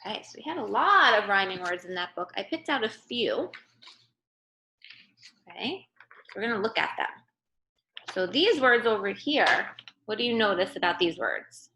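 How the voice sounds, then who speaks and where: A woman's voice: mid-range voice, very animated, moderate pace, pauses often, pushed and bright, slight background noise, low-quality recording. A woman teacher giving a remote read-aloud lesson for young children, explaining simply and often checking in with a short question. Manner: instructive, checks in with "okay" and "right?". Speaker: early-grade teacher.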